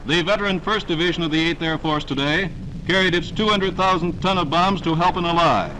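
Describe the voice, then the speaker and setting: A man's voice: a little high, fairly quick, noisy background. A man narrating a 1940s newsreel in a dramatic, declamatory scripted style, on a vintage soundtrack.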